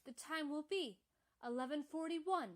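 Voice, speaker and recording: A woman acting in a radio play as a telephone time-service operator, announcing the time in a detached, measured formula. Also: high-pitched. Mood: impersonal, measured.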